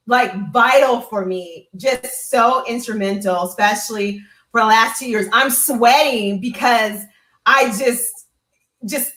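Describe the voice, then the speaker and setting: A woman's voice: fairly high pitch, fairly bright tone. A woman giving a casual, heartfelt personal testimonial as a guest, talking effusively and conversationally. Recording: roomy, echoey sound.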